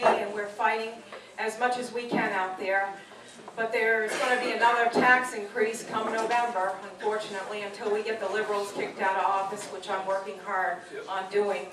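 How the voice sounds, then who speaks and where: A woman with a soft voice giving an earnest speech at a public meeting, pushing her voice to carry. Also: fairly high pitch. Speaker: local taxpayer group speaker. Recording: distant, echoey and noisy room.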